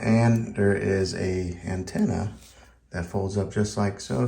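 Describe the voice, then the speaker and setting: Guy w a lowish voice doing a casual tech review, plain step by step talk, pretty echoey room. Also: gravelly, a little mellow. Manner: plain-spoken, conversational, explaining step by step. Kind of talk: casual hands-on product review. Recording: echoey, roomy indoor sound.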